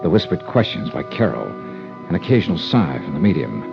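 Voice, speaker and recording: Radio detective narrator: American, wry, dramatic, expressive intonation, narrow vintage broadcast sound. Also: male voice, extremely low pitch, fairly quick, vocal fry, exaggerated voice. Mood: wry, intrigued. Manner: dry, dramatic, clipped storytelling. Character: wry hard-boiled male investigator narrating his case. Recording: old radio broadcast, limited band. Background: dramatic music.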